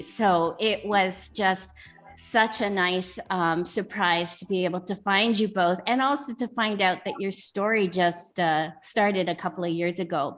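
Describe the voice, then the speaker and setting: A woman's voice: medium pitch, fairly bright tone. A woman hosting a video interview, enthusiastic and chatty.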